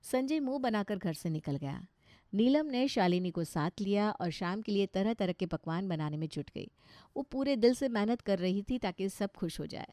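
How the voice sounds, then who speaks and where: A woman's voice: medium pitch, lively intonation, normal speed, dark tone, no echo, clean studio sound. A woman storyteller reading scripted narration of a moral tale.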